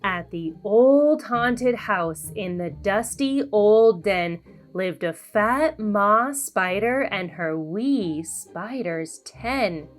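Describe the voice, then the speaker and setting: Female children's storyteller. Lively, swooping pitch, deliberate sing-song read, background music. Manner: animated, slow and deliberate sing-song delivery. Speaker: children's read-aloud host.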